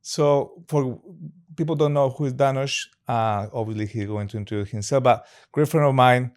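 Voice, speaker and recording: A man's voice: medium pitch, normal speed, clean tone. A man hosting a podcast interview, upbeat and chatty, with loosely phrased English that drops small words. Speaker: podcast host. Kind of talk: casual interview chat.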